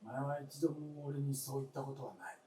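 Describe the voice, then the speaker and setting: A man's voice: mid-range voice, steady tone, natural voice. Man acting a frail, grieving old husband, roomy stage. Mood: wistful reproach. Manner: halting, hushed, breathy murmurs with emotional surges. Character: grieving, frail old husband longing for his late wife. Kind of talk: live staged radio drama acting. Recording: roomy stage, distant mic, faint noise.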